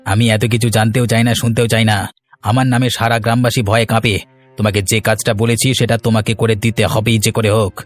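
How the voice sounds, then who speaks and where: A man's voice: mid-range voice, level tone, fast talker, faint music behind, close to an everyday voice. A man playing a menacing village bully. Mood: menacing, demanding. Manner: domineering, insistent. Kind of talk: Bengali cartoon villain acting.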